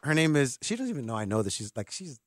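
A man's voice: medium pitch, very animated, quick pace, very noisy. A man in his thirties hosting a sports radio show in a studio, joking and teasing his co-host in casual banter. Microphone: studio microphone.

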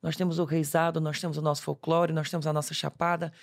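Cearense man, twenties, gravelly, chatty guest. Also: on the high side, level tone, fast talker. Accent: Cearense Brazilian Portuguese. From Ceará, Brazil. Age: 20s. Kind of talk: casual conversation.

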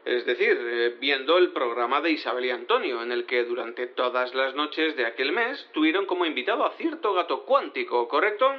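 A man's voice: medium pitch, expressive, normal speed, few pauses, close to an everyday voice. Guy doing a nervy formal defense lawyer in a radio play, swoopy intonation, slightly muffled sound. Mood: formal, hesitant. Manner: hesitant, formal, with throat-clearing and restarts. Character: hesitant male defense lawyer cat questioning a witness. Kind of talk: audio fiction courtroom acting.

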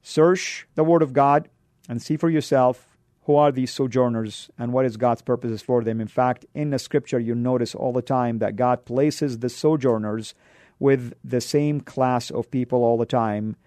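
A man's voice: fairly even intonation, normal speed, a little mellow, dry recording, clean studio sound. A man from Arabia, hosting a radio show and giving an emphatic sermon-style exhortation. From Saudi Arabia. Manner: emphatic, pitch rising on key words.

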